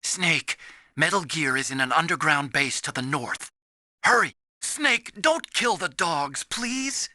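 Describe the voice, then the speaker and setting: An actor playing an earnest, anxious, soft-hearted young engineer in a video game, with a boyish, pleading way of talking. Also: male voice, on the high side, expressive, slow pace, no echo, clean studio sound, heavily acted.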